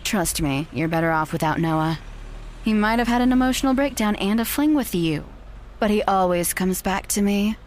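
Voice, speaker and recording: A woman's voice: medium pitch, lively intonation, normal speed, slight background noise, natural voice. An actress playing a cold, manipulative young woman who talks down to her rival in an audio drama. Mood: condescending. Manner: condescending, dismissive.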